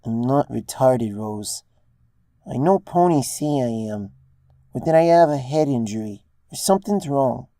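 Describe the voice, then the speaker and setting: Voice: medium pitch, expressive, a little slow, dark tone, no echo, clean studio sound. A narrator voicing an adult female pony, a mother who confides in a hesitant, earnest way, in a story reading. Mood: earnest, defensive.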